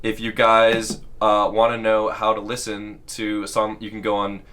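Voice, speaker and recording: Low-voiced friendly male radio host. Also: moderate pace. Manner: relaxed, friendly, with frequent fillers. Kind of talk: casual radio interview. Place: radio studio.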